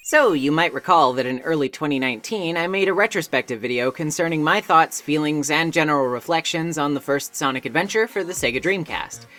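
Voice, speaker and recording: A man's voice: a little high, expressive, fairly quick, hardly any pauses, bright, forceful tone. A man reading a scripted video essay in an articulate, wordy way, with wry asides. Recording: music behind narration.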